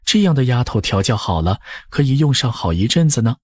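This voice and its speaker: A man's voice: mid-range voice, big pitch swings, a little fast, a little mellow, breathy edge, no room sound. A man narrating a historical novel as a scripted audiobook.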